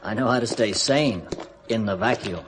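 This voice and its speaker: A man's voice: fairly low pitch, normal speed, a little hiss or hum, natural voice, poor audio. A man playing a detached astronaut. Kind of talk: 1970s radio drama acting.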